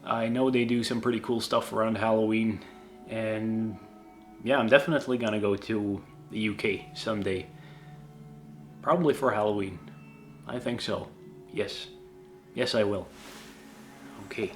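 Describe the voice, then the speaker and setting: A Swedish man with an accent, a YouTube creator casually answering viewer questions, halting and self-deprecating with many restarts. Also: on the low side, fairly even intonation, faint music behind. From Sweden.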